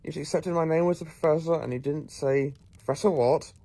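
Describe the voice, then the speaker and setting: Eccentric professor and time traveller, male actor, audio drama. Clever, take-charge, musing; gravelly edge, soft dark tone. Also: a little high, fairly quick.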